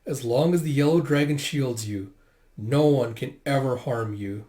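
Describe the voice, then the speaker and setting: A man's voice: medium pitch, normal speed, warm tone, natural voice. Man as a solemn, immortal dragon protector. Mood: calm, solemn. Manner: solemn, reassuring. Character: calm immortal male dragon protector in human form.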